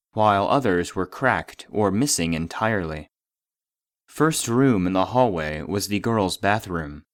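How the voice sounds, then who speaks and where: A man's voice: fairly low pitch, dry recording, clean studio sound. A man playing a formal database voice in an audio drama, reading scripted exploration logs in a clinical, detached manner. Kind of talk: scripted narration.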